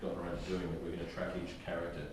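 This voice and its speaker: A man's voice: deep voice, monotone, very fast. A man casually explaining things to a group as a writer, in an informal, loosely hedged way. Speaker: scriptwriter. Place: reverberant room with a group. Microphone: distant room microphone. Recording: echoey room, far mic, noisy background.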